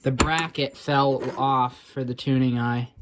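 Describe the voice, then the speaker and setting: A man's voice: medium pitch, steady tone, measured pace, noisy background. A man giving casual, hands-on repair commentary as a hobbyist, thinking aloud in an informal way. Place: indoors.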